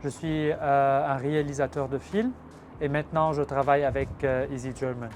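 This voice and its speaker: A man's voice: mid-range voice, fairly even intonation, normal speed, darkish tone. Man from lebanon, arabic native, mid voice, faint background noise. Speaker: guest. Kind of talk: casual interview.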